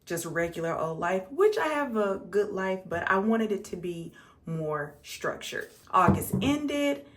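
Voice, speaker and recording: A woman's voice: mid-range voice, sing-song, a little slow. A 35-year-old American woman chatting casually and conversationally to her viewers as a makeup vlogger, repeating words for emphasis. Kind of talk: casual chat to viewers while doing makeup.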